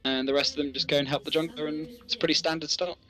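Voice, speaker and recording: A man's voice: a little high, flat delivery, rapid-fire, sharp tone. A man hosting an esports match with casual, chatty commentary.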